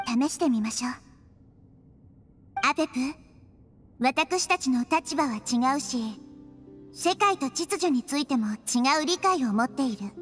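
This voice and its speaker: A woman voicing a wise, gentle young girl deity in an anime game, speaking with measured, thoughtful resolve. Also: sits high. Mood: solemn, firm. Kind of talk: Japanese anime game voice acting.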